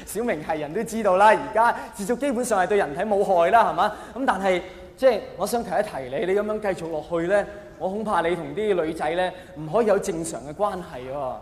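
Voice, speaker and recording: A man's voice: high voice, quick pace, few pauses, close to an everyday voice. A male stand-up comedian with a Hong Kong accent playing an earnest, patronising man who coaxes a teenage boy. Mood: gently coaxing. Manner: patronising, coaxing, over-patient. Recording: echoey hall reverb, faint background noise.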